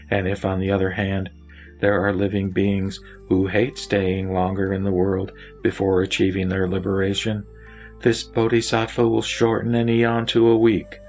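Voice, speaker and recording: A man voicing a wise male lay sage and patient teacher, speaking in a slow, measured, solemn way. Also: fairly low pitch, vocal fry. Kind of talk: scripted audio drama dialogue.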